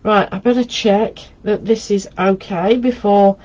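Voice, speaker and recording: A woman's voice: mid-range voice, steady tone, unhurried, darkish tone, muffled recording. A woman and holistic therapist, nasal and congested from a head cold, chatting in a rambling, meandering way with plenty of ums on a live stream from home. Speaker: holistic therapist hosting a weekly live video.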